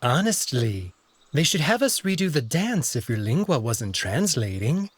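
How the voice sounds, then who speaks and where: A man voicing a dainty, refined young male alien courtier in a visual novel, polite, measured and coy. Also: medium pitch, sing-song, husky. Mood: polite, informative. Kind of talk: visual novel character acting.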